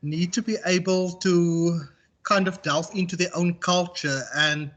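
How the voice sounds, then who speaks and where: A South African man speaking as a university tutor in an informal online class, reflective and halting as he circles back to rephrase. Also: high voice, steady tone, a bit bright. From Western Cape, South Africa. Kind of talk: informal online lecture and discussion.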